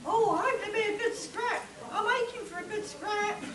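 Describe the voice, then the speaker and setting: A woman's voice: very high-pitched, brisk, crowd noise. A woman playing a scrappy, pugnacious male chemist in a live spoof radio play. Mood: eager, pugnacious. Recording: distant mic in echoey hall, audience noise.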